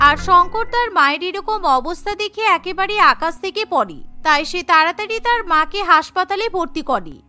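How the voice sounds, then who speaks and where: A woman's voice: very high voice, pushed and bright. A woman narrating a scripted cartoon family story.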